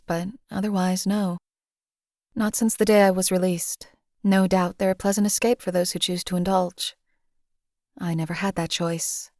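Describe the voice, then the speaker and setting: A woman voicing a young female assassin in a game, speaking in a flat, clipped, unemotional way. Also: lowish voice, dry recording, studio quality, lightly acted. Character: cold detached female assassin, young adult. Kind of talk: game companion voice lines.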